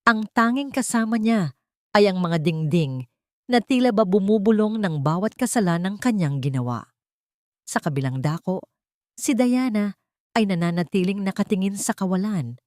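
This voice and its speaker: A Filipino woman narrates an audio drama in a fairly low voice. Her delivery is measured and solemn, with a sentimental storyteller's phrasing. The recording is dry and close, with little room sound. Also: a little mellow, dry recording.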